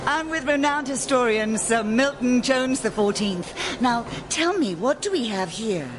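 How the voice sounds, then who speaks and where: A British actress playing an eager, naive, easily flustered documentary presenter in a radio comedy. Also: female voice, fairly high pitch, expressive, measured pace, noisy background. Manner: wide-eyed, gushing.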